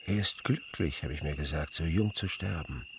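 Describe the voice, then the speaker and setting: An actor playing an elderly, thoughtful and melancholy naturalist in a German radio play, musing and pausing as he speaks. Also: extremely low pitch, noisy background. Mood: wistful, melancholy. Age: older. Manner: reflective, musing, hesitant pauses.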